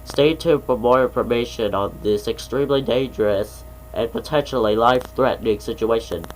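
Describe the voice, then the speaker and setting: A man's voice: medium pitch, moderate pace, slight background noise, natural voice, poor audio. A man playing an official emergency alert announcer, reading scripted warnings in a formal, serious, instructional way. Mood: serious, urgent.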